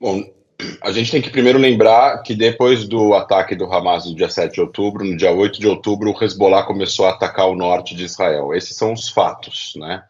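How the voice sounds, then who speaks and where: A man's voice: low-pitched, fairly even intonation, fairly quick, a little mellow, lo-fi sound. A man with a Brazilian accent giving didactic analyst commentary, laying out his points step by step. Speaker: political analyst, interview guest. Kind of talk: explanatory commentary.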